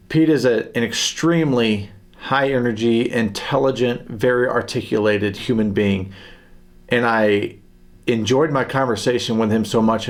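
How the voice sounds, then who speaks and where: Man, podcast host, earnest, mid-pitched voice, a little halting. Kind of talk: podcast intro and casual interview.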